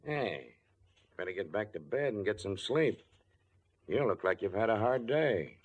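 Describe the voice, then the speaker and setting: A businesslike police inspector in a 1940s radio drama. His voice is on the low side, with clipped, matter-of-fact delivery. He stays easygoing and routine. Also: expressive, unhurried, narrow, tinny audio, heavy background noise. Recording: vintage 1940s radio broadcast.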